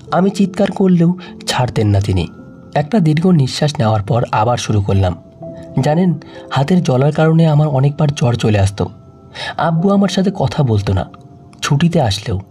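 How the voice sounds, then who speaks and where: A man narrating an emotional audio story in the first person, reading in a soft, sorrowful way. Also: fairly high pitch.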